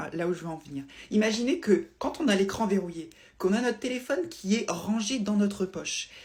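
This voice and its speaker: A woman's voice: medium pitch, darkish tone. Woman explaining things in a casual, didactic way. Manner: didactic, emphatic, repeats and rephrases. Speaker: presenter explaining phone interface design. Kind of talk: casual explainer talk to viewers.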